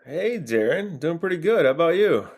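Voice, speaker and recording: A man's voice: a little high, very animated, moderate pace, gravelly, a little mellow. A man in his forties talking casually and reflectively as an interview guest, with a modest, self-deprecating manner. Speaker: podcast guest, playwright and actor.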